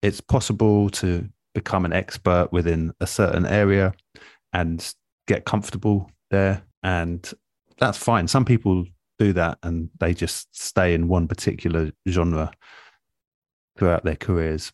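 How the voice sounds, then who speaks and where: A man speaking as an interviewed radio producer in a relaxed, reflective way, thoughtful and measured, often pausing mid-phrase. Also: deep voice, no echo, studio quality.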